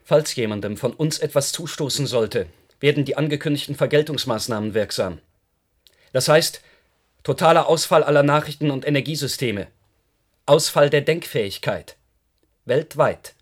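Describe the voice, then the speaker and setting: An actor in a German radio play, playing a cold astronaut captain who gives emotionless orders and threats. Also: male voice, mid-range voice, normal speed, close to an everyday voice. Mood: menacing. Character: cold, commanding astronaut captain under alien influence.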